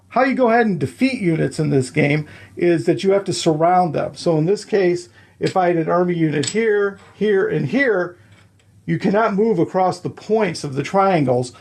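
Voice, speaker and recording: A man's voice: high voice, creaky, a little mellow, husky. A man explaining the rules of a board game step by step, counting moves aloud and checking in with the listener.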